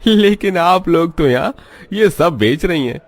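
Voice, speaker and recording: A man's voice: fairly high pitch, expressive, unhurried. A man playing a curious, jovial customer at a market in a moral-story cartoon. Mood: surprised, suspicious. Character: chatty middle-class male market customer, curious and jovial. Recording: music behind the voice.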